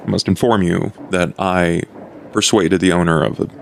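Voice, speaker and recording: A man playing a grave, courteous vampire schemer who speaks in stiffly formal, deliberate phrases in a tabletop game. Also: deep voice, steady tone, creaky, slight background noise.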